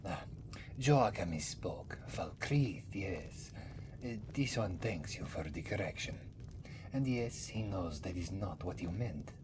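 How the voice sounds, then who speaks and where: Man voicing a deep, slow old cat-folk priest. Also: expressive, noisy background. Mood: wry, courteous. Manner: speaks of himself in third person, rambling, musing. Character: very old male cat-folk former moon priest, wry, courteous. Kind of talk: fantasy roleplay ASMR, intimate storytelling. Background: music.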